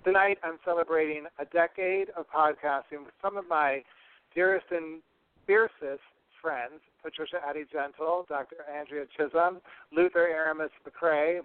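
A man's voice: a little high, smooth, no room sound, phone-quality sound. A man hosting a podcast in an upbeat, scripted presenting style. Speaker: podcast host.

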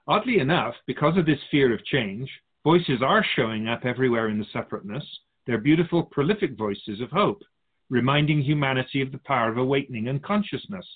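A man playing an otherworldly, wise spiritual guide in a radio drama, measured and instructive with gentle amusement. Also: medium pitch, close to an everyday voice. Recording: slightly muffled, phone-like narrow sound.

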